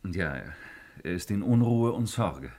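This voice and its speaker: A lonely officer at an ancient Roman palace in a fifties radio drama. His voice sits low, and he speaks with theatrical self-importance and an uneasy edge. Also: expressive, measured pace, warm tone. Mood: courteous. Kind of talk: 1950s German radio play acting.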